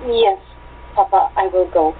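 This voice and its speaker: A woman's voice: mid-range voice, huge pitch swings, a little slow. A woman playing a practical, down-to-earth young village sister in an amateur radio play. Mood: resigned, willing. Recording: tinny phone-like line with hum.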